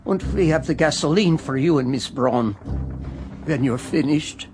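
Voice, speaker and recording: An actor playing an obedient, coldly dutiful German military aide in a radio drama, with a clipped, matter-of-fact manner. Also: male voice, a little high, moderate pace, close to an everyday voice. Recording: music behind the voice.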